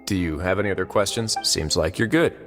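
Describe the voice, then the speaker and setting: Low-voiced, wry fortress administrator. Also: male voice, a little fast, big-room sound, music in the background. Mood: composed, wry. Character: composed, wry male prison fortress administrator. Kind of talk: video game voice acting.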